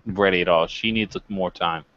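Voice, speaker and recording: A man's voice: deep voice, fairly even intonation, moderate pace, fairly bright tone, lo-fi sound. A man co-hosting a wrestling review show, chatting casually with a wry, joking manner. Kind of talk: casual chat.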